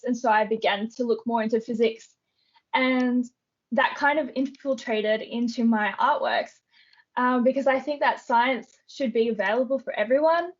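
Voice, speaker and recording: A young Australian woman answering interview questions in a relaxed, thoughtful way, with frequent ums. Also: on the high side, lo-fi sound. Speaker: guest artist.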